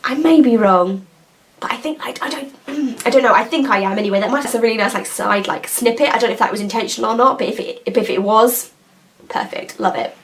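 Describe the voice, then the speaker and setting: A woman's voice: on the high side, fairly quick, slight background noise. A woman, chatting casually and enthusiastically to camera with lots of hesitations and asides. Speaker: nail polish vlogger.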